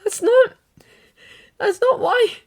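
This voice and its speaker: A woman voicing a distraught teenage boy, a hero student, crying and shouting through his anger. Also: very high voice, a little fast, voice pushed up. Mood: tearful anger. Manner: tearful, breaking, shouting outbursts.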